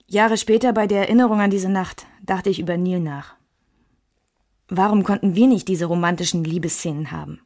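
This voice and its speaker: A woman's voice: lowish voice, a little fast, darkish tone, natural voice. An actress playing a reflective woman who looks back on a troubled marriage in a literary radio play. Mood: reflective, resigned.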